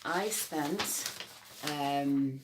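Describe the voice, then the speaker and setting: A woman's voice: low-pitched, drawn-out words, roomy sound, noisy background. A middle-aged woman with a Scouse accent, telling her personal story to camera in an emphatic, rambling way with many restarts. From Liverpool, England.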